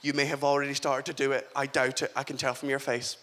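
A man's voice: medium pitch, little pitch movement, fast, very noisy, close to an everyday voice. A young man playing his blunt, middle-aged father giving an awkward talk in a matter-of-fact tone. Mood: earnest advice.

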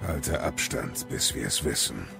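Stern warrior god, rock-bottom pitch, gravelly, terse, gruff, music underneath, audio drama. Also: male voice, animated, moderate pace, heavily acted. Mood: grim, wary. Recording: loud music behind the voice.

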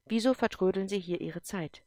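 A woman reading aloud and voicing a strict, commanding female general who talks in clipped, forceful sentences. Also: medium pitch, normal speed, no room sound, natural voice. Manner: clipped, forceful, brooks no argument. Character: stern, commanding female general, middle-aged.

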